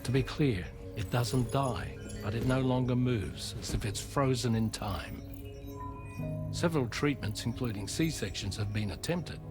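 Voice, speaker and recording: An actor playing a learned, clinical expert giving a grim briefing in a video game, speaking formally and precisely. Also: male voice, medium pitch, a little slow, over music, lightly acted. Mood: grave, clinical. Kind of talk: video game cutscene exposition.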